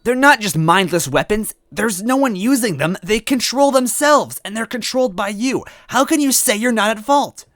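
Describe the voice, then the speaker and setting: A man's voice: high-pitched, lively intonation, moderate pace, hardly any pauses, fairly bright tone, dry recording, clean studio sound, pitched above the performer's own voice. A man voicing a distraught, defiant little mushroom girl in a story reading, pleading and argumentative. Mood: accusing.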